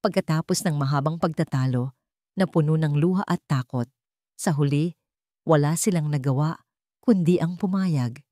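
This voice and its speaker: A woman's voice: low-pitched, moderate pace, dark tone, no echo, clean studio sound. A woman storyteller reading a dramatic scripted narration in a suspenseful, emotive manner.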